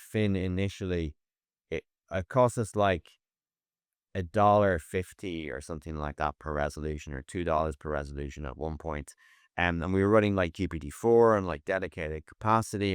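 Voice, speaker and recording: A man's voice: low voice, clear, resonant tone, no echo, studio quality. A man speaking as a tech executive guest in a conversational interview, often repeating the starts of his words.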